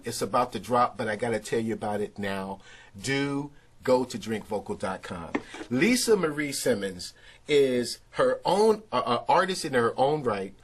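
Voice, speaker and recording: Effusive male interview host, husky voice. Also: mid-range voice. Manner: enthusiastic, effusive. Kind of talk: casual online interview. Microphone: video call.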